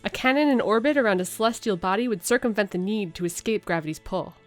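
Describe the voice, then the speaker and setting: A woman's voice: medium pitch, expressive, fast, soft background music, natural voice. A woman voicing a sincere, reflective female alien explorer, reading her written logs aloud. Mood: eager.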